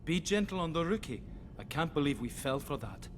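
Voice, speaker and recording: An actor playing a friendly, cheeky young starship engineer in a video game. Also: male voice, fairly high pitch, very animated, fairly quick, a little hiss or hum. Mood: cheerful, joking. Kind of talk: video game cutscene acting.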